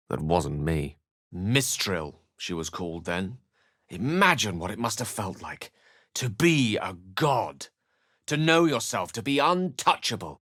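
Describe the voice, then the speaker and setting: Fantasy game wizard: low English voice, very dry sound, eloquent, measured and theatrical. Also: male voice, a bit bright, no echo. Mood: musing, intense. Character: learned, eloquent adult male wizard adventurer. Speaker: video game voice actor. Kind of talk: dramatic scripted game dialogue and narration.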